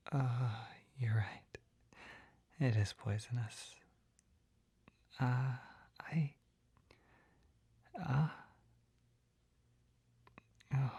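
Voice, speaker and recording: An actor voicing a shy, flustered, gentle poison-selling apothecary in a soft, breathy, hesitant whisper for an ASMR roleplay. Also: medium pitch, no room sound, heavily acted. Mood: flustered, embarrassed. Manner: hesitant, halting, apologetic, easily embarrassed.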